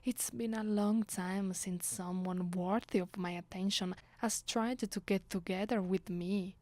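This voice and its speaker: A woman's voice: mid-range voice, steady tone, unhurried, darkish tone, no echo, close to an everyday voice. A woman with an Italian accent playing a commanding, teasing vampire noblewoman in an ASMR roleplay, imperious and playful, often slipping toward a whisper. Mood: coolly flattered. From Italy. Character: commanding, teasing, seductive aristocratic female vampire. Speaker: voice actress.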